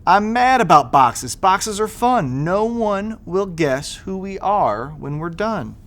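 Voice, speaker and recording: Guy doing an eager little storybook girl, playful bouncy rhymes, highish voice. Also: big pitch swings, slow pace, a bit bright, full character voice. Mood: excited. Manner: bouncy rhyming delivery. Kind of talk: children's storybook read-aloud.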